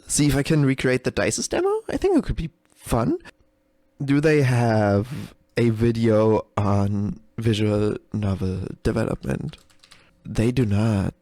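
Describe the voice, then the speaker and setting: Man, unhurried, mid-low everyday pitch, casual developer commentary, sweary, self-deprecating, giggly, dry recording. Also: dark tone, dry recording. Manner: giggly, often breaking into laughter. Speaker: game developer recording a reaction video. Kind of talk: casual unscripted live commentary.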